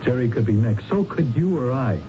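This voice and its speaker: A man's voice: medium pitch, animated, a little fast, lightly acted. Man acting a hard-boiled young investigator, noisy recording. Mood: exasperated, scolding. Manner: blunt, slangy, scolding. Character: hard-boiled, crooked young male investigator, impatient and cynical. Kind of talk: old-time radio drama acting. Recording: muffled, noisy old radio broadcast.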